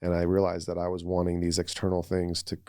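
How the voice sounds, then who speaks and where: A man's voice: sits low, monotone, fast talker, warm tone, clean tone, no room sound, studio quality. A man, thirty or older, talking casually and reflectively as a podcast guest, with an earnest, conversational way of telling personal stories. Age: 30s or older.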